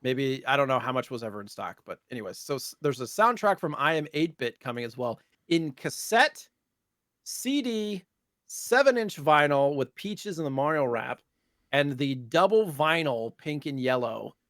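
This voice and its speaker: A man with a mid-pitched voice chats as a podcast co-host. His delivery is loose and rambling, recorded close and dry with no room sound. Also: lively intonation, normal speed, a bit bright, no room sound. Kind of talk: casual chat about retro gaming news.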